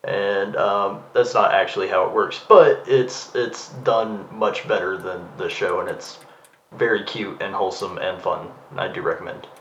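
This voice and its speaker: A man's voice: low voice, steady tone, moderate pace, fairly bright tone. A man co-hosting a podcast in casual, rambling, slangy chat, acting out imagined thoughts as he goes. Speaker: podcast co-host. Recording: muffled, roomy, faint background noise.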